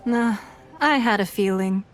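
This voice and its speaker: A woman's voice: mid-range voice, measured pace, faint music behind, natural voice. A woman playing a gracious, shrewd and powerful city leader in a video game, speaking in a composed, formal manner. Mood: composed, serious. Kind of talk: dramatic video game voice acting.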